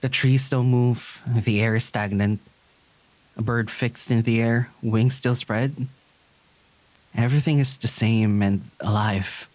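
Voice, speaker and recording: An actor plays an anxious young diviner in an audio drama. The voice sits at a medium pitch. The delivery is withdrawn and hesitant in conversation, then measured and lyrical in introspective narration. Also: steady tone, darkish tone, no echo, lightly acted, rough recording. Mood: brooding, lyrical.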